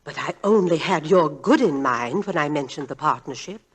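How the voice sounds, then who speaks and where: A woman's voice: sits low, lively intonation, moderate pace, a crowd in the background. A woman playing a disapproving, self-pitying older mother-in-law in a 1940s radio drama. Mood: reproachful. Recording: faintly noisy vintage 1940s radio broadcast.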